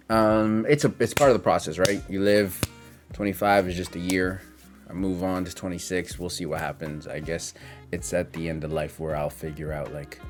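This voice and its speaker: A man in his twenties talking in a casual, confessional vlog monologue, reflective and hesitant as he rambles through his thoughts. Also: low voice. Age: 20s. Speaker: content creator.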